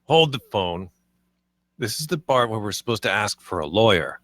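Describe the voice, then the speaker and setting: A man's voice: fairly low pitch, big pitch swings, normal speed, fairly bright tone. A man playing a casual, slangy, self-assured slacker bro in a comedy table read. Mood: defensive. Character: cocky, laid-back slacker bro pool cleaner.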